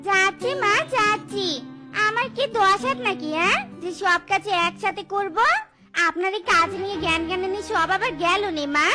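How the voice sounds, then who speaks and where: A woman voicing an excitable, put-upon young jackal daughter-in-law in a cartoon, squeaky, whiny and dramatic. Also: normal speed, over loud music. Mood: grumbling. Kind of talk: cartoon voice acting.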